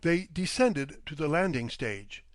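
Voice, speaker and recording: A man reading vintage detective fiction aloud as an audiobook narrator, in a measured, literary manner. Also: on the high side, sing-song, no echo, studio quality.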